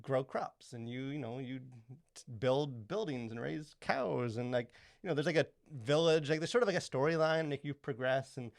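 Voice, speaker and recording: A man, probably in his thirties, co-hosting a podcast with casual, rambling, halting talk full of filler words. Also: medium pitch, gravelly, no room sound, clean studio sound. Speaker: podcast co-host.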